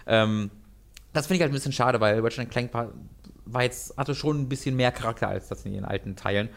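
A young man talking about anime and video games in a casual podcast chat, animated and rambling with many restarts. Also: medium pitch, fairly quick, vocal fry. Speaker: podcast co-host.

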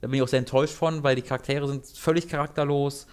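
A young man talking about anime and video games in a casual podcast chat, animated and rambling with many restarts. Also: medium pitch, fast talker, clean tone. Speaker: podcast co-host.

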